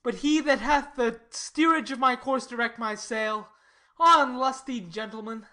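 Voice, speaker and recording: Woman playing a slow, lovestruck young nobleman. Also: fairly high pitch, pushed and bright. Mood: foreboding. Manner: measured, dreamy declamation. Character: lovestruck, brooding young nobleman, earnest and poetic. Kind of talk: theatrical Shakespearean verse acting.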